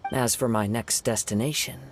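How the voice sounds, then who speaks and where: An actor playing a gentle, thoughtful young samurai wanderer in a video game, speaking in a measured, formal, reflective way. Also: male voice, medium pitch, fairly even intonation, lightly acted. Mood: polite, composed. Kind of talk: video game story dialogue acting. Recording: game music behind the voice.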